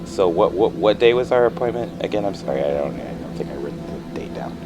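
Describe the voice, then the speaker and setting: A man playing a forgetful, apologetic patient recovering from a brain injury, talking haltingly in a naturalistic audio drama. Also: deep voice, animated, music in the background. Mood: flustered, polite. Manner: halting, apologetic, repeats himself. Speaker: podcast creator and actor.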